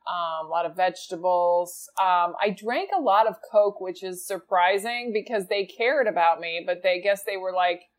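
Female podcast guest raised in Spain; animated, chatty storytelling. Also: fairly low pitch, normal speed, hardly any pauses. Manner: animated storyteller, frequent fillers. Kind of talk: casual conversational interview.

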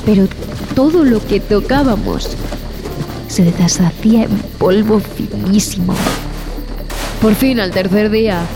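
An actress playing a haunted explorer who tells a horror tale in a dramatic, deliberate way, in audio fiction. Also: female voice, mid-range voice, natural voice. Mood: awed, excited. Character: haunted explorer recounting a terrifying jungle expedition.

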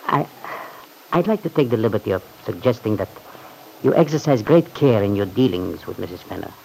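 An actor playing a cautious, worried manservant, formal and discreet, with a creaky voice, in an old-time radio serial. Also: male voice, lowish voice, lively intonation, brisk, a little mellow. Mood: worried caution. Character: deferential, cautious, worried manservant advising his employer. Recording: faintly noisy old radio recording.

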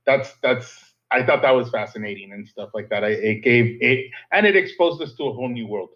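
Joking, animated man, dull lo-fi sound. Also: medium pitch, fairly quick. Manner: animated, joking, talks with emphasis. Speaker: podcast co-host. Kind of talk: casual chat.

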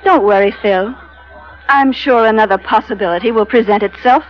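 An actress playing a poised, sly, scheming wife with a biting edge in an old-time radio drama. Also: female voice, on the high side, moderate pace, natural voice. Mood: cold, bitter. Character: cool, scheming wife bargaining with a sea captain. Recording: muffled vintage radio broadcast.

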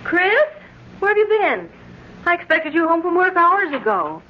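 High, emotional radio-drama wife. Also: female voice, sing-song, normal speed. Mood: concerned. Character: devoted, anxious, clinging wife, emotional and trusting. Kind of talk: 1940s radio drama acting. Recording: muffled, noisy old radio broadcast recording.

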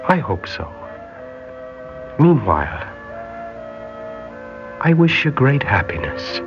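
A man's voice: medium pitch, sing-song, takes it slowly. A man acting an earnest, sentimental young sailor in a classic radio drama. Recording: dull old radio recording with music.

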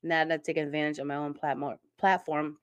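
A woman's voice: deep voice, little pitch movement, fast talker, no room sound. A woman in her thirties from Connecticut, hosting a casual interview show in a chatty, upbeat way and laughing often. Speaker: podcast host.

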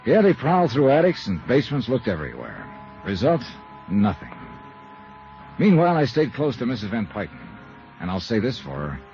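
An American actor playing a brisk, wry, hard-boiled insurance investigator in a 1950s radio detective drama. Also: male voice, medium pitch, huge pitch swings, a little mellow, highs cut off. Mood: wry narrating. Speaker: investigator narrator and lead. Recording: vintage radio recording, band-limited, music underscoring.

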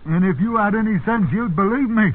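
Man playing high-voiced, grumbling old English farmhand. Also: brisk, lightly acted. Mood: indignant. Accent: rural English. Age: older. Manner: plain-spoken, grumbling dialect talk. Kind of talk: 1950s radio drama acting. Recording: tinny, noisy 1950s radio recording.

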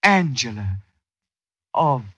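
A man playing a roguish, smooth-talking escaped convict and lover in a theatrical old-time radio drama. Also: medium pitch, sing-song, slow, fairly bright tone, no echo, heavily acted. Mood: wooing.

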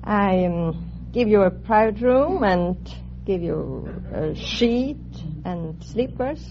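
A woman from Europe with a European accent, speaking haltingly as a contestant on a vintage radio quiz show. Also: mid-range voice, animated. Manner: halting, simple hesitant phrasing. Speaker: quiz show contestant, steam bath operator. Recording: muffled, noisy vintage radio with audience.

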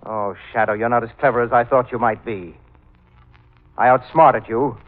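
An actor playing a suave, sinister, cultured killer in an old-time radio drama, speaking with mannered, mocking politeness. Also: male voice, medium pitch, steady tone, normal speed, lightly acted. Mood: smug, persuasive. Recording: tinny band-limited old radio recording, music behind.

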